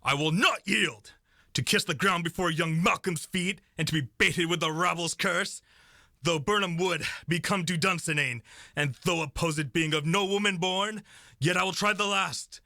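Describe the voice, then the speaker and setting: A man's voice: high voice, moderate pace, bright, forceful tone, no echo, clean studio sound. A young man playing a defiant, doomed warrior king in a Shakespearean radio play, delivering verse in a declamatory way. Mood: furious, defiant. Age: young adult. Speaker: student actor.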